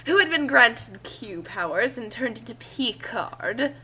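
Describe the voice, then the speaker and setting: A woman's voice: a little high, lively intonation, a little slow, bright, forceful tone, slightly rough. A woman narrating a story aloud in a dramatic reading, breaking off for casual commentary.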